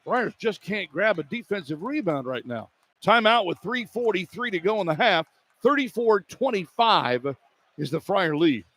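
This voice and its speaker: A man's voice: high voice, very animated, moderate pace, a bit bright. A man doing live radio basketball play-by-play in short, clipped running phrases over arena noise. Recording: arena crowd noise behind broadcast. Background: arena ambience.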